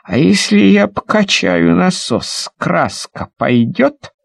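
A man's voice: sits high, vocal fry, no room sound, clean studio sound, exaggerated voice. Man as an eager, bossy little boy. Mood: curious. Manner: drawn-out excited exclamations, urging. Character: eager, bossy young boy egging friends on.

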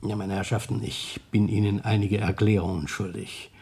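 An actor playing a shrewd, self-satisfied police detective in a radio crime play, speaking formally and deliberately. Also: male voice, lowish voice, flat delivery, gravelly, very noisy.

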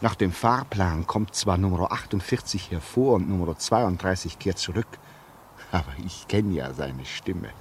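Through the faint noise of a 1950s radio play comes a lonely male railway signalman. His voice sits fairly low, and he speaks in a brooding, halting, theatrical way, like a haunted man caught in his own obsessive fancies. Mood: feverish, obsessive. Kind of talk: 1950s German radio drama acting. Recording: noisy 1950s radio recording.

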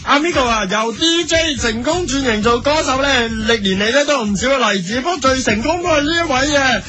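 A man's voice: extremely high pitch, animated, fairly quick, a crowd in the background. A man playing a chirpy music-show DJ with a Hong Kong Cantonese accent and exaggerated presenter patter in a radio parody. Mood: perky.

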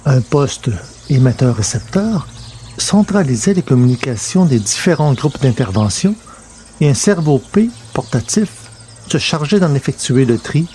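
A man reads scripted science fiction narration in a mellow voice of medium pitch. Faint noise sits behind the reading. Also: big pitch swings, measured pace.

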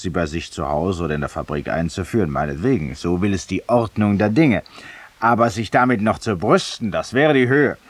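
A man's voice: low-pitched, no room sound. An actor playing a vain, ironic, confessional man in a 1950s radio drama monologue, urbane and theatrical, addressing a silent companion. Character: vain, confessional, ironic male Parisian lawyer in exile. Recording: distant-sounding 1950s radio studio recording.

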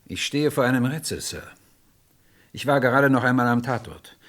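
An actor playing an insistent, methodical police inspector in a radio crime drama, questioning formally and probingly. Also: male voice, medium pitch, animated, moderate pace, a bit bright, close to an everyday voice. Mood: brooding, reasoning.

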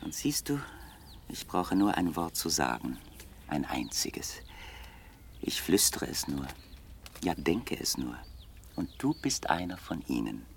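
Urbane, menacing young gentleman, swooping intonation. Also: extremely low pitch, sing-song, a little slow, vocal fry, pauses often, heavily acted. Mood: quietly menacing. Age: young adult. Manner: polite, ironic, precise, theatrical. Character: wealthy young bachelor nephew, urbane, mocking, quietly menacing murderer. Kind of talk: 1960s German radio play acting. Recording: noisy vintage radio play recording.

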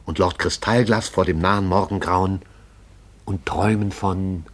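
An actor playing the lyrical storyteller of a radio play, addressing the listener intimately in an incantatory, poetic way. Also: male voice, deep voice, fairly even intonation, measured pace, a little hiss or hum. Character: hushed, lyrical omniscient storyteller of a sleeping town. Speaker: narrator.

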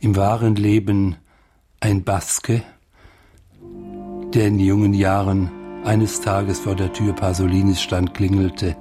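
Male commentator, low voice, unhurried pace, reflective personal remarks, music behind. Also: level tone. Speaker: commentator watching a film.

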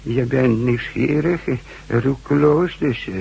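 An actor playing a friendly hundred-year-old village man who rambles and hesitates in a shaky, husky, creaky voice, in a radio drama. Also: mid-range voice, a little mellow, dull audio, heavily acted. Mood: rambling, reminiscing. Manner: halting, hesitant, rambling storytelling.

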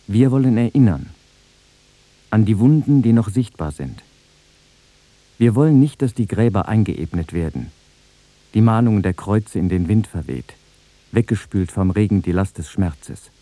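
A man reciting literary texts in a measured, solemn manner. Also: lowish voice, mellow, no room sound, low-quality recording. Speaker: reader of literary quotations.

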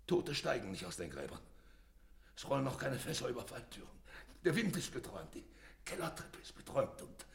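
A man's voice: medium pitch, big pitch swings, fairly quick, gravelly. An actor in a radio play as a guilty man in panic, stammering and muttering to himself in agitation. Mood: frantic self-soothing. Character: panicked guilty man alone at night with a corpse. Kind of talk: German radio play acting.

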